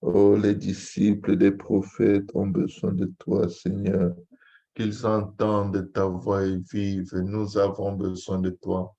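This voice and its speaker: A man with a dark, mellow voice leads a fervent Pentecostal prayer. He speaks slowly on a fairly flat tone, pleading in repeated invocations. The recording is a little dull and lo-fi. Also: low voice. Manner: repetitive, pleading, chant-like invocation. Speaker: pastor leading prayer.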